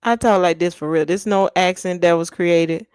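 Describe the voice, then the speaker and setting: A woman's voice: on the low side, normal speed, darkish tone, smooth, dry recording. Sassy Texas woman with Louisiana twang. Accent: Louisiana-tinged Texas. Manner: sassy, blunt, laughing, slangy storytelling. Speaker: livestream host. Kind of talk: casual chat with live viewers.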